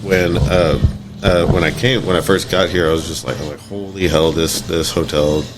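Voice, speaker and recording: A middle-aged man with a raspy voice, chatting casually as a podcast guest in a halting, rambling way that repeats words. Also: deep voice, fairly even intonation, rough recording. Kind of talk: casual chat. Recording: clear background noise, room ambience.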